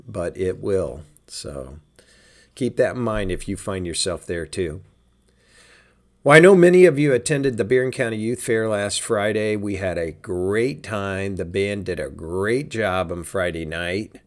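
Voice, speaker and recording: A man with a raspy, hoarse voice from a sinus cold, hosting a casual church podcast in an easygoing, chatty way. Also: lowish voice. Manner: easygoing, chatty, with many fillers. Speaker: podcast host.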